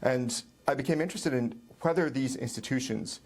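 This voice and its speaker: A middle-aged man from Ireland, a professor answering interview questions in a measured, explanatory way with frequent pauses and ums. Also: medium pitch, darkish tone.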